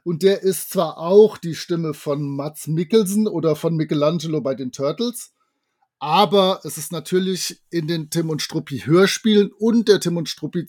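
A man's voice: on the high side, a little mellow. A man chatting enthusiastically and casually as a co-host on a fan podcast. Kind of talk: casual fan podcast chat about audio dramas.

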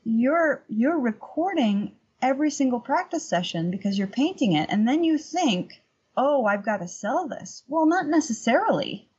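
A middle-aged woman with a fairly high voice that has a husky edge. She talks casually as an interview guest, animated and anecdotal, leaning on repetition for emphasis. The recording is a little dull and lo-fi. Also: moderate pace. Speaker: podcast guest, painter and workshop teacher.

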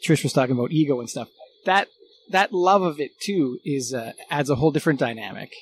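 A man's voice: mid-range voice, animated, fairly quick, slightly rough. A middle-aged man in a casual unscripted conversation as a guest, thinking aloud as he goes. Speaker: former radio recording engineer, podcast guest.

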